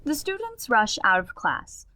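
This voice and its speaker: Female game master narrator, animated and fairly high, dramatic storytelling. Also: a little slow, no echo, full character voice. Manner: storytelling, expressive.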